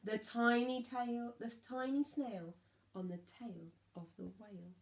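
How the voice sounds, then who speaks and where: A woman narrating a rhyming children's story like a primary teacher, expressive and emphatic on key words. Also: on the high side, measured pace, creaky.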